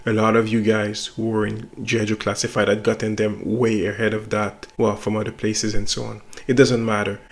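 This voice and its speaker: A man's voice: fairly low pitch, flat delivery, fairly quick, few pauses. A middle-aged French Canadian man, a native French speaker, talking casually and reflectively to his viewers about his review channel, with a self-conscious, rambling manner. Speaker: toy reviewer and site owner. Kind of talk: casual talk to camera.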